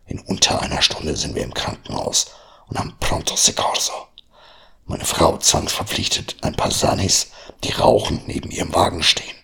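Low, gravelly, husky-voiced man whispering. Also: sing-song, moderate pace. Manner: hushed, half-whispered narration. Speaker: podcast host. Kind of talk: reading his own short horror story.

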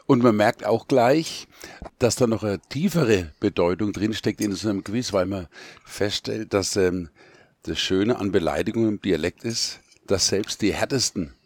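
Wry man, low voice. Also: no room sound. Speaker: quiz book co-author. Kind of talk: casual chat.

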